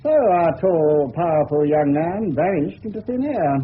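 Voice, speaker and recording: An actor playing a sceptical police inspector, probing and sardonic, with an English accent in a radio drama. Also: male voice, fairly high pitch, expressive, a little slow, slight background noise. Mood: dry, sardonic. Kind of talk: 1970s radio drama acting. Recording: muffled narrow-band old radio recording.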